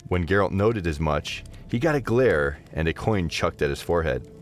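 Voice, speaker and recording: A man narrating a scripted fantasy story as an expressive audio drama narrator. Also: deep voice, normal speed.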